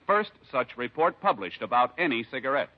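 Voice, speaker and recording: A man making formal, declamatory announcements with measured phrasing in the style of 1950s radio. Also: medium pitch, big pitch swings. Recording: muffled 1950s radio recording.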